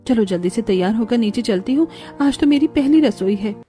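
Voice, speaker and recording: Woman as a plaintive high-voiced young bride. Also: expressive, a little fast, dark tone, faint music behind, natural voice. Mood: fretful. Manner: plaintive, fretful. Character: meek, anxious young bride, a troubled daughter-in-law. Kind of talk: Hindi animated family-drama voice acting.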